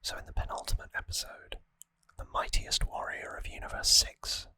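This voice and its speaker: Casting: ASMR host for trivia recaps, fully whispered, crisp and bright, hesitant phrasing, close on the mic. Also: dry recording. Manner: unhurried whispering with hesitant pauses between short phrases. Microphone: close microphone.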